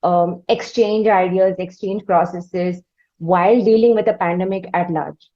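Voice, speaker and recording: A woman's voice: fairly low pitch, fairly even intonation, measured pace, mellow, dull audio. A woman with an Indian accent hosting a music interview, speaking in an effusive, wordy, roundabout conversational way. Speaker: interviewer, podcast host.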